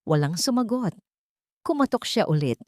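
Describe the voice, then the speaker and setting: A woman narrating a scripted dramatic love story as a storyteller, in measured, short dramatic phrases. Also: medium pitch, big pitch swings, a little mellow, no room sound, studio quality.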